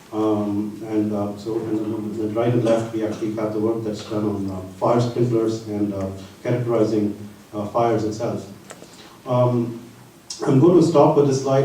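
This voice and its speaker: A man presents his research slides at a conference, pausing and restarting often as he goes. His tone is dark and mellow. The sound comes from well back in a large, reverberant hall with a faint hiss. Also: mid-range voice, recorded from a distance. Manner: halting, with frequent pauses mid-sentence.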